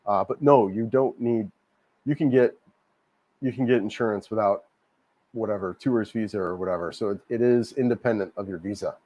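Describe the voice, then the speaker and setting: A man hosting a casual livestream, a little hoarse from a cold, rambling and repeating himself as he answers viewer comments. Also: lowish voice, a little fast, lo-fi sound. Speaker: livestream host. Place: home in Cebu, Philippines.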